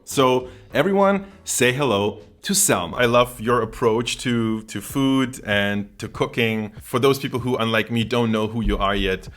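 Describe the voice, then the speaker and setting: A man's voice: mid-range voice, fairly bright tone. A man hosting his own food channel, with an upbeat, friendly manner as he introduces a guest. His voice sits in an ordinary middle register. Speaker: host.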